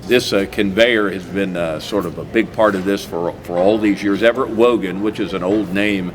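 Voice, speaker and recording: A man with a low, deep voice hosts a casual community interview. He rambles a bit, stopping and restarting with plenty of "uh"s. The recording has a clear hiss of noise behind him. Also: moderate pace. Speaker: host. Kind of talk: casual local-TV interview chat.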